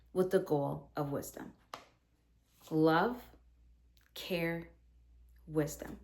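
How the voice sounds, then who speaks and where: A woman teacher in her thirties talking earnestly to other teachers about classroom practice, acting out what she says to students. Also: fairly low pitch. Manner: earnest, animated, acts out her own quoted lines.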